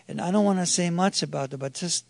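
A man preaches a sermon in a slightly high voice with a gravelly edge. He talks slowly, emphatic and halting, often restarting and putting questions to his listeners. The recording is dry and close. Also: dry recording. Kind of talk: sermon to a congregation.

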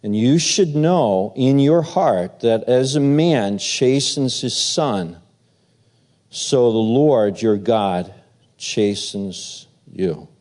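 Male pastor, slow and warm-toned, halting delivery with fillers; preaching and reading scripture. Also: medium pitch. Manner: halting, filler-heavy, then steady reading.

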